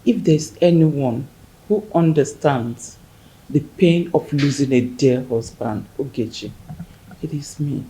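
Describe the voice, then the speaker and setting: A woman playing a widow who gently comforts a grieving friend in a soothing, motherly way. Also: sits low, slow pace, low-quality recording. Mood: consoling. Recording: faint background noise.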